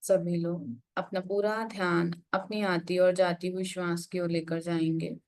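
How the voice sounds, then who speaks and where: Low-pitched woman guiding meditation. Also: flat delivery, darkish tone. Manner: unhurried, instructive, with frequent pauses. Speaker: meditation guide. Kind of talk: guided meditation instruction.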